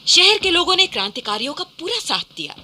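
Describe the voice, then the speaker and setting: A woman's voice: very high-pitched, big pitch swings, a little fast, pushed and bright. A woman narrating a scripted historical radio play in a dramatic storytelling style.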